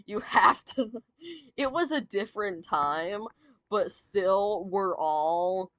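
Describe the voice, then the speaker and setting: A woman chats her way through a song ranking, drawn out and full of fillers, giggles and restarts. Her voice has gone a little raspy and sore from long talking. She speaks slowly, and the sound is dry and close. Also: medium pitch, lively intonation, a bit bright, no room sound. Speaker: VTuber streamer. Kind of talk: casual fan commentary, ranking songs.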